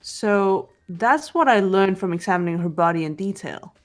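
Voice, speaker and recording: Calm, unemotional teenage girl detective played by a woman. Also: medium pitch, moderate pace, faint music behind, close to an everyday voice. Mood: calm, analytical. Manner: composed, matter-of-fact, precise. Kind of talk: scripted visual novel voice acting.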